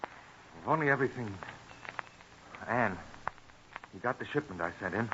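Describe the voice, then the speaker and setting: Eager colonial gardener on old radio. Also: male voice, on the low side, expressive, normal speed, sharp tone. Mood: eager, vexed. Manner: earnest, dreamy, old-fashioned Quaker speech. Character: eager, idealistic colonial farmer and botanist, devoted to his garden. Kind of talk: mid-century radio drama acting. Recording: dull old radio recording, faint noise.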